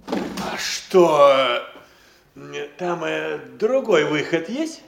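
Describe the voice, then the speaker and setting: A man playing a gruff, hungry manservant in an audio comedy, blunt, grudging and drawling. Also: a little high, lively intonation, drawn-out words, noisy background, full character voice. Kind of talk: classic Russian stage-comedy radio acting.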